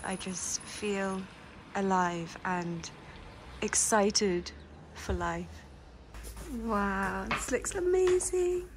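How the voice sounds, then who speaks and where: A woman's voice: on the high side, slight background noise. A young woman chatting to her phone camera in a vlog, gushing and enthusiastic, full of "like" and "um". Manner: gushing, enthusiastic, many likes and ums. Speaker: lifestyle vlogger. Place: indoors in a ski chalet.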